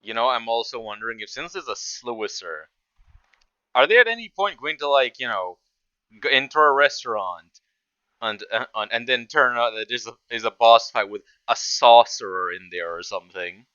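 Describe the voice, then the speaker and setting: A man's voice: medium pitch, expressive, normal speed, bright, forceful tone, clear, resonant tone, no echo, muffled recording. A man giving casual off-the-cuff reaction commentary, rambling and stammering as he restarts his sentences. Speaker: reaction video host.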